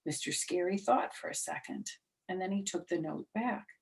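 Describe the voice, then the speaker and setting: A woman's voice: lowish voice, moderate pace. A woman storyteller reading a children's book aloud, addressing young listeners directly in simple words.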